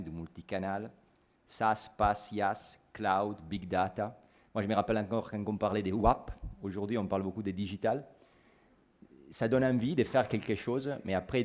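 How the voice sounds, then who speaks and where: A man in his forties speaks French with a clear Italian accent, his voice sitting very low. He talks through his points on a conference panel in a university lecture hall, thoughtful and plain, correcting himself as he goes. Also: a little mellow.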